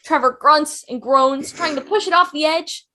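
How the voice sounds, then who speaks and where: A woman's voice: high voice, normal speed, pushed and bright, slight background noise. A woman reading scripted stage directions as narrator in an online table read. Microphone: video call.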